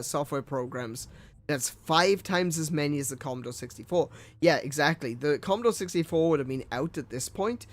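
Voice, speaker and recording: A young man and gaming streamer reading old computer ads aloud with his own commentary, tripping over words and laughing at himself. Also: a little high.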